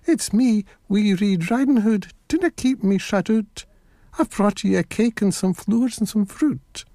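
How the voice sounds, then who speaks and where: A woman's voice: mid-range voice, measured pace, mellow, dry recording, studio quality, pitched above the performer's own voice, lightly acted. A woman voicing a small, innocent, polite little girl with a Scottish accent, chattering artlessly in a children's story. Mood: innocent, curious.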